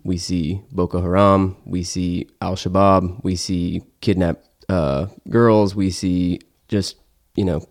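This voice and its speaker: A man with a low voice hosts an interview in a conversational style. He lays out his questions in lists, pausing between items for dramatic weight. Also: little pitch movement. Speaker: interview host.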